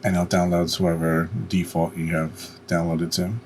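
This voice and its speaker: A man with a deep voice narrates a software screencast. He explains each step plainly and without hurry, with faint noise behind him. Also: completely flat delivery, moderate pace. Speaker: tutorial host.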